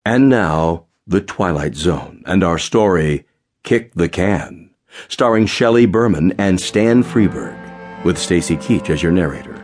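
A man's voice: deep voice, lively intonation, unhurried, a little mellow, faint music behind. A man announcing a radio drama and its cast in a dramatic programme style.

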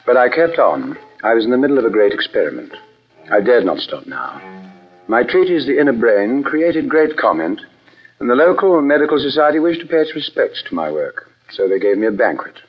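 A brilliant doctor scared for his mind, lively intonation, formal old radio drama, muffled sound. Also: medium pitch, brisk, breathy edge, faint music behind. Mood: brooding narration. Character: troubled, brilliant psychiatrist fearing for his own sanity. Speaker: narrator and lead actor. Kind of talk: old radio drama acting, first-person narration. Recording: dull vintage radio recording.

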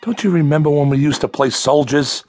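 A man's voice: a little high, fairly even intonation, fairly quick, a little mellow, faint music behind, lightly acted. An actor playing a mild, boyish toy designer in his thirties, hesitant yet stubbornly enthusiastic, in a radio drama. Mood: excited, defensive. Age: 30s.

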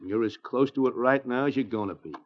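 Actor as gruff western guard, muffled old radio. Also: male voice, mid-range voice, a little fast, close to an everyday voice. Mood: threatening. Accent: American. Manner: curt, threatening. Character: gruff, hostile armed guard at a mining claim. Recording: muffled vintage radio recording.

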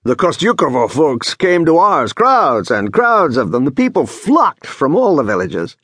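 A storybook village idler, acted out by a man who loves to give orders. The voice jumps high and swings widely, with a rough husky grain. He is excitable, irritable and boastful, forever scolding. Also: measured pace, no echo, studio quality, heavily acted. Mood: indignant boasting.

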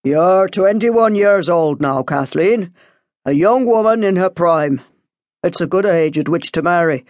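A paternal parish priest played by a woman narrator counsels in a measured, coaxing manner. He sounds gently insistent and moralising, with expressive pitch movement, in a dry audiobook recording. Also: no echo. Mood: admonishing.

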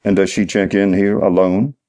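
A man voicing a weary, sarcastic police detective who questions deliberately and impatiently in a crime audiobook. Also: sits low, level tone, dark tone, close to an everyday voice. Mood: patient, probing.

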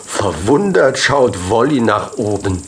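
A man narrating a children's story in an expressive, deliberate way. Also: on the low side, slight background noise. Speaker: audiobook narrator.

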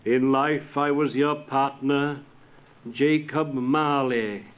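Brief: a man playing a grave old ghost, slow and heavy, dull lo-fi recording. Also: mid-range voice, steady tone, clear, resonant tone, natural voice. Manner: slow, grave, drawn-out delivery.

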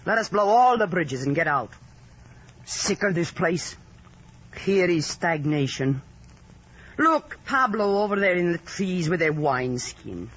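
Fierce bossy partisan lady, sharp tongue, pitch swings a lot, muffled old radio sound. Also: very animated, measured pace, husky, exaggerated voice. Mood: decisive. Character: fierce, brave, sharp-tongued guerrilla woman. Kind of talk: vintage radio drama acting.

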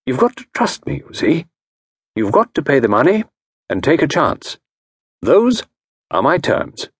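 A man voicing a calm, self-assured middle-aged consultant who speaks in a measured, soothing way. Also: a little high, breathy edge, no echo, studio quality, pitched above the performer's own voice, full character voice.

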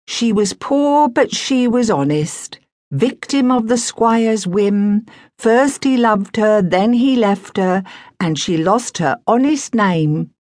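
A woman voicing a cheerful poor young London girl, bright and sing-song. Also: on the high side, wildly swooping pitch, takes it slowly, dry recording, studio quality, pitched above the performer's own voice, heavily acted.

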